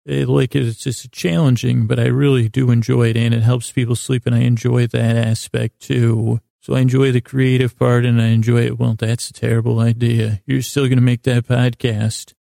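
Very gravelly, creaky man rambling softly. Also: medium pitch, flat delivery, no room sound, clean studio sound. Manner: meandering, halting, trails off mid-thought. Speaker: sleep podcast host. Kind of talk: rambling casual bedtime storytelling monologue.

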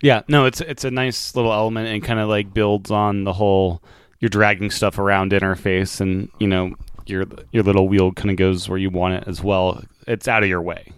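A man hosting a podcast, relaxed and agreeable, asking follow-up questions in casual interview chat. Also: fairly low pitch, normal speed, smooth, no echo, clean studio sound. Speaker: podcast host.

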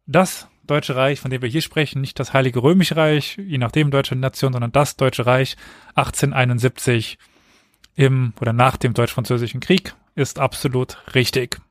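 A man's voice: medium pitch, steady tone, normal speed, no room sound, clean studio sound. A man co-hosting a podcast quiz, chatting casually, putting questions and judging the answers. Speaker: quiz co-host.

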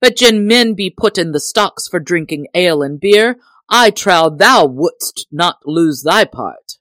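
A woman's voice: on the low side, animated, a little slow, fairly bright tone, no echo, clean studio sound. A woman voicing a hot-tempered, hearty male tinker in emphatic, archaic storybook speech. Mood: blustering.

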